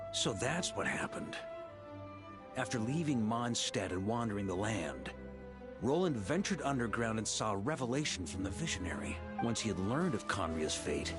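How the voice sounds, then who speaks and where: An actor playing a bold, easygoing knight commander in a video game, with a casual, conversational delivery. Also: male voice, lowish voice. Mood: grave.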